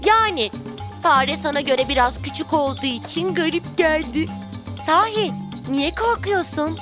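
A woman voicing a helpful, child-like main character in a children's cartoon, speaking clearly and reassuringly. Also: high-pitched, expressive, measured pace. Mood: curious. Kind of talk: animated children's cartoon dialogue. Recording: music bed behind the voice.

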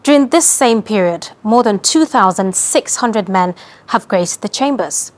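A young woman reads the news in a formal, measured way, clearly scripted. She speaks at a mid pitch in a clean studio recording. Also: expressive. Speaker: news presenter. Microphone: studio mic, standing presenter.

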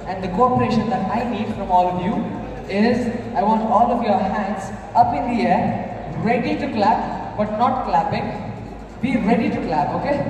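A man's voice: sits high, noisy background. A man, performing a juggling act and hyping up a live crowd with jokes and patter. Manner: showman hyping a crowd, jokey. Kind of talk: live stage show patter. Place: large echoey mall hall with a crowd. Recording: echoey hall recorded from a distance.

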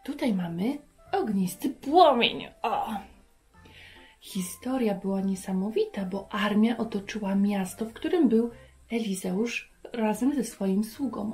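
Woman, thirties, children's storyteller, slow pace, expressive questioning, faint music behind. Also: mid-range voice. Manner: expressive, addresses viewers with questions. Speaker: host of a children's Bible lesson. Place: furnished room indoors.

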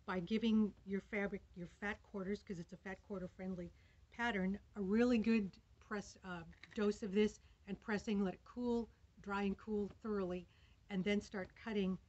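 A woman's voice: mid-range voice, a little mellow. Older lady chatting through a quilt ruler demo in a fabric shop, a bit dull lo-fi sound. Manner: chatty and enthusiastic. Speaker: quilting demonstrator. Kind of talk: casual product demo. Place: fabric store aisle. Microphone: camera in front at table.

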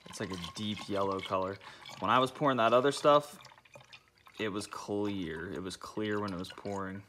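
Young male DIY host, low-pitched, easygoing step-by-step garage narration. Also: normal speed, a little hiss or hum. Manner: relaxed, thinking aloud, talking through each step. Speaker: car DIY channel host. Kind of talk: casual how-to narration while working. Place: home garage, concrete floor.

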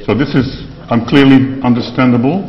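A man's voice: medium pitch, fairly even intonation, normal speed. A man with a Dutch accent opening a conference presentation in a large lecture hall. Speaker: conference speaker. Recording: echoey dull lecture hall sound.

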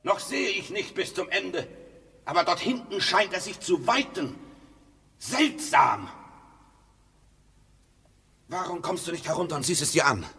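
An actor playing a stubborn, testy husband in a radio drama, speaking in a curt, dryly formal, pointed way. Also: male voice, high-pitched, animated, measured pace, creaky, pauses often, sharp tone, husky, heavily acted. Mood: absorbed, intent. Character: stubborn, testy husband digging obsessively in his garden. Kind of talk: German radio drama acting. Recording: long reverberant echo.

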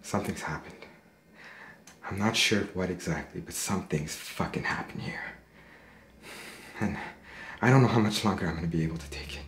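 An actor playing a sleepless, paranoid young man in a horror drama, speaking in a halting, agitated, sweary way. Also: low voice, natural voice. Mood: weary, unsettled. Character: sleepless, paranoid, foul-mouthed young man haunted at home. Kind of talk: found-footage horror film acting, confessional to camera. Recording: roomy echo, faint background noise.

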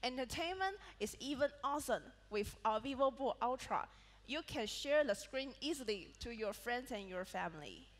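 A woman's voice: on the high side, animated, moderate pace. A woman with a Malaysian English accent, presenting a product keynote on stage and reading prepared points with small slips and restarts. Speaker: product manager presenting a laptop launch. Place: large event hall on a stage. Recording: reverberant event hall sound.

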